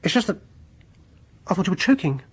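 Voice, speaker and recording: A man's voice: high-pitched, big pitch swings, a little fast, slightly rough, heavily acted. Fussy, polite British man in radio drama. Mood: alarmed. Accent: British English. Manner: polite, hesitant, fussily precise. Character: fussy, solicitous male host of music gatherings. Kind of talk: radio horror drama acting.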